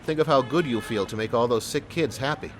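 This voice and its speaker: An actor playing an earnest, smooth-talking police sergeant who tells his story in an audio drama. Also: medium pitch, a little fast, slight background noise, lightly acted, poor audio. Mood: persuasive. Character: persuasive, good-hearted male police sergeant and storyteller. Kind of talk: audio drama acting and first-person narration.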